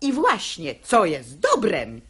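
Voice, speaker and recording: A woman's voice: lowish voice, wildly swooping pitch, takes it slowly, a bit bright, slightly rough, exaggerated voice. An actress playing a stubborn, sanctimonious elderly mother in a radio play, preaching in theatrical verse. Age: older. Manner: sententious, theatrical.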